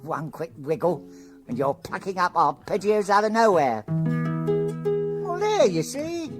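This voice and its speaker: A woman playing a coy, boastful safe-cracker in a comic radio play, excitable in manner. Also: mid-range voice, slow pace. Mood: gushing. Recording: guitar music under the voice.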